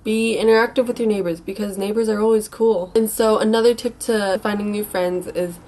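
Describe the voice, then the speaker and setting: A woman's voice: mid-range voice, fairly even intonation, brisk, darkish tone. Stuffy-nosed young American woman chatting casually. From United States. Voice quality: stuffy, congested nose. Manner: informal, rambling, hesitant. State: mildly sick with a stuffy nose. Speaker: advice vlogger. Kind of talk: casual advice chat.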